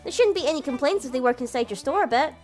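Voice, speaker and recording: A woman's voice: sits high, lively intonation, racing, a little mellow, faint music behind. A woman voicing a visual novel's prim, doting younger sister, proud of her charges, with a polite, giggly, instructive way of talking. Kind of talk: visual novel character read aloud.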